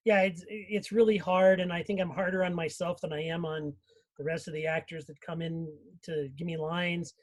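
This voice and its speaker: High-pitched man in casual panel chat. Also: flat delivery, moderate pace. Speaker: audio drama producer and cast member.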